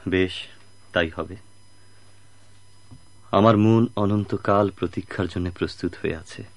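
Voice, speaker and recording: Casting: restrained, faithful suitor for radio melodrama, low voice, dry sound, earnest delivery. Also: male voice, fairly even intonation, no room sound. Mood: solemn, devoted. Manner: measured, solemn, earnest. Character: patient, devoted, self-restrained suitor. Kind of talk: melodramatic radio drama acting.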